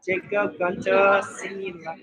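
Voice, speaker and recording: A man's voice: high voice, monotone, unhurried, a crowd in the background. A man chatting casually and informally at a live event. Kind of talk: casual chat.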